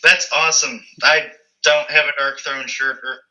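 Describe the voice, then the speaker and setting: A man's voice: medium pitch, fairly even intonation, moderate pace, sharp tone, poor audio. A man as guest in a casual interview, easygoing and stammering on the starts of sentences. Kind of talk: casual chat.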